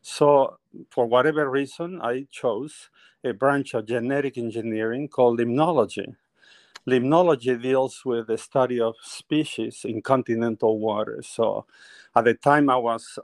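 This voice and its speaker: A man's voice: medium pitch, no room sound. Peruvian man telling his story, dry sound. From Peru. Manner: animated storyteller, laughs often. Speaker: guest painter. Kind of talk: conversational interview.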